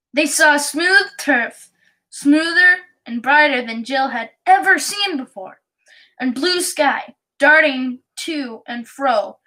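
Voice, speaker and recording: A woman reading a children's storybook aloud in a careful, halting way. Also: high-pitched, pushed and bright, low-quality recording. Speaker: audiobook reader.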